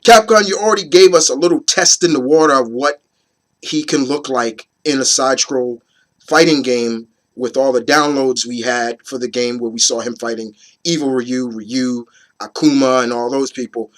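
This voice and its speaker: A hoarse man in his thirties, getting over a cold, hosting his opinion video in a rambling, casual way with many fillers and restarts. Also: medium pitch, moderate pace, a bit bright. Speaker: host of an opinion video series. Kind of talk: casual chat to camera.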